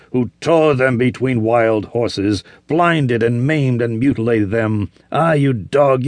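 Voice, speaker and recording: A man voicing a savage, vengeful barbarian warrior who talks slowly, in a deep, growling voice. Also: dry recording, close to an everyday voice. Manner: slow, growling, furious.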